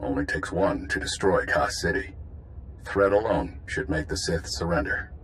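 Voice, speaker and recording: An actor playing a confident spymaster in a video game, briefing a covert squad with authority. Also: male voice, low voice, normal speed, a bit bright, slight background noise. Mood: assured briefing.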